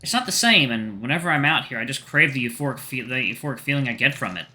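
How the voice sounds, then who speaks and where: A man voicing a young adult female cheetah sailor, proud and playful, talking in an emphatic, lively way. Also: mid-range voice, quick pace. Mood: earnest.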